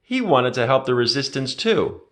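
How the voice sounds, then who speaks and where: Animated male storybook narrator. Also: medium pitch, moderate pace. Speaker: read-aloud narrator. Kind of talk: expressive children's storybook read-aloud.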